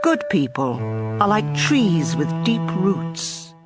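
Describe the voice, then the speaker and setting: A woman's voice: mid-range voice, very animated, slow. A woman giving a dramatized reading of scripture as an audio Bible reader. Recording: prominent background music. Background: music.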